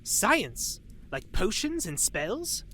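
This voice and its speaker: A woman playing a curious, wry character who casually interjects while hearing a dark fantasy tale. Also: medium pitch, sing-song, extremely slow, slight background noise, full character voice. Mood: curious, appalled. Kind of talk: visual novel character acting.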